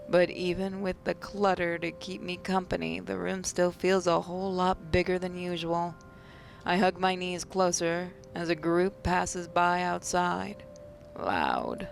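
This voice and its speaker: A woman game host reading visual novel narration aloud in a measured way, occasionally stumbling. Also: on the low side, vocal fry. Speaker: let's play host. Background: music.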